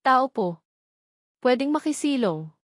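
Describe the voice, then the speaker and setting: A woman's voice: high-pitched, very animated, slow pace, no echo, voice pushed up, full character voice. Polite stranded city man, voiced by a woman, dry sound. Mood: pleading, worried. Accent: Filipino. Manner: polite, pleading.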